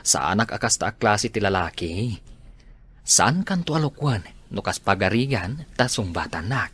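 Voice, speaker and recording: A man's voice: on the low side, big pitch swings, moderate pace, fairly bright tone, slightly rough, exaggerated voice. An actor with an Ilocano accent playing an earnest, rejected suitor in a radio drama, pleading his case.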